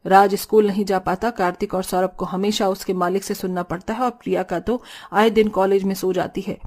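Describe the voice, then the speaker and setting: A woman's voice: medium pitch, fairly even intonation, fairly quick, darkish tone, close to an everyday voice. A woman voicing a caring but firm, scolding middle-aged mother-in-law in a cartoon family drama. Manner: reproachful, motherly.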